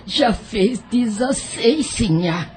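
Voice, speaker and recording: A woman's voice: fairly high pitch, lively intonation, extremely slow, a little mellow, faint music behind. A woman acting a meek, pious enslaved servant in an audio drama, humble and deferential. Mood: subdued.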